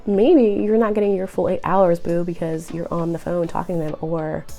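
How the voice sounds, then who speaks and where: A young woman with a cold, sounding nasal and raspy, giving earnest, encouraging relationship advice straight to the viewer. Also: lowish voice, steady tone, normal speed, dark tone, faint music behind. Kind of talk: direct-to-camera advice vlog.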